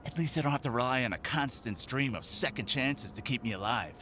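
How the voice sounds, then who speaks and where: A man's voice: mid-range voice, animated, fairly quick, background noise, lightly acted. A man playing a smug, needling crook on the take in an audio drama, with a cocky, taunting manner. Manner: taunting, mock-wounded, cocky.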